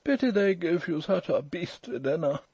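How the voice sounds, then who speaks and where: A man voicing a languid, overbearing, lordly English gentleman with an upper-class drawl in a storybook reading. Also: fairly high pitch, lively intonation, normal speed, husky, dry recording, muffled recording, voice pushed up. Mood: languid disdain.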